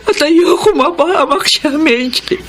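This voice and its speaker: A woman's voice: high voice, unhurried, a crowd in the background. An actress playing a loving, anguished middle-aged mother with a plaintive, pleading manner in a melodramatic radio drama. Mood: anguished, worried.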